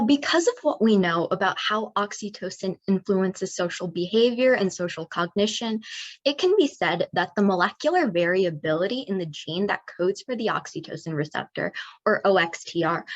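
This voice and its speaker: A young woman from New Jersey reading a technical part of a student research proposal in a measured, precise way. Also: medium pitch, expressive. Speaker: student presenter. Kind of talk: read research presentation. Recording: dull lo-fi mic.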